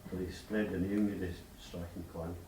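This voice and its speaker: A lower-voiced man speaks earnestly from the floor, pausing to find his words. He is heard from a distance. The room echoes, with a faint hiss beneath. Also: little pitch movement, dark tone. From Scotland. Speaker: clan member speaking from the floor. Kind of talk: unscripted remarks at a meeting.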